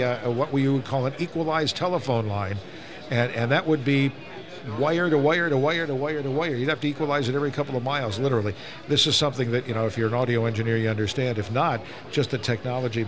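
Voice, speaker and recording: Older male, mid-pitched, veteran hobbyist on live radio, conversational and halting, with clear crowd chatter behind. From Northeast Ohio, United States. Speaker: ham radio veteran on a talk show. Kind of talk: casual reminiscing and explaining. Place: live broadcast from a busy ham radio convention. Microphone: broadcast microphone. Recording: crowded convention floor, busy background chatter.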